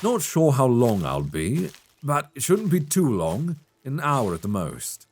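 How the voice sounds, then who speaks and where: A man voicing a good-humored, teasing elf hunter in a soft ASMR fantasy roleplay, close to a binaural microphone. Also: mid-range voice, wildly swooping pitch, a little slow, slightly rough, heavily acted. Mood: friendly, easygoing. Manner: playful, gently instructing, chuckling often. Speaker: voice actor in audio roleplay.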